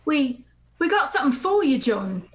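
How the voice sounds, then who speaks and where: Woman playing a high-voiced grumbling pirate crewman. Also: sing-song, normal speed. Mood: tentative. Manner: self-interrupting, jokey, apologetic asides. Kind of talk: live comedic table read.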